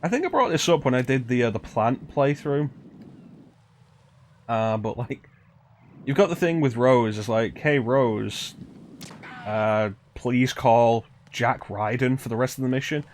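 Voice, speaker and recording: A man's voice: mid-range voice, expressive, a little slow, long pauses, a little hiss or hum. A man streaming a video game with casual live commentary, talking in rambling, conversational asides.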